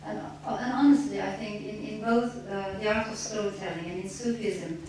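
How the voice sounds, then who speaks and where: A woman's voice: medium pitch, steady tone, darkish tone. Woman reading in an echoey room. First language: Turkish. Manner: measured, reflective, with some restarts. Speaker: author at a reading. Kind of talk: reading aloud then answering questions. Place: lecture room. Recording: echoey room, distant mic, faint noise.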